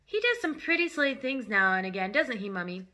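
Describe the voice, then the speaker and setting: A woman plays a small, clever girl from a children's story. She is composed and politely sly, matter-of-fact, in a high, bright voice. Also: sing-song, fairly quick. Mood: knowing. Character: composed, sly five-year-old girl, politely helpful.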